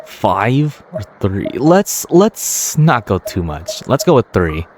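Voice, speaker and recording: A man's voice: lowish voice, big pitch swings, takes it slowly, background noise. A man hosting a gaming video, giving casual gameplay commentary.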